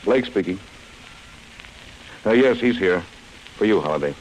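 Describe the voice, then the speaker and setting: A man's voice: sits low, lively intonation, unhurried, background noise. A man playing a matter-of-fact, unsentimental city lawman in a 1940s radio drama. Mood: brisk, unsentimental. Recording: vintage radio recording, loud music.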